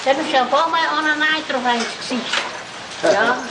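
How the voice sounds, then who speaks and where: A woman's voice: high-pitched, brisk. An elderly woman, in casual chat with visitors. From Germany. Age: older. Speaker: great-grandmother greeting visitors. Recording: distant, echoey, very noisy home video.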